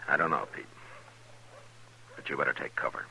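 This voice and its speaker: Very deep, stern frontier marshal. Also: male voice, moderate pace, creaky, bright, forceful tone, full character voice. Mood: businesslike. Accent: American. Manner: terse, authoritative. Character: stern, steady frontier marshal of the Old West. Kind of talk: 1950s radio western acting. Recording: noisy old radio drama recording.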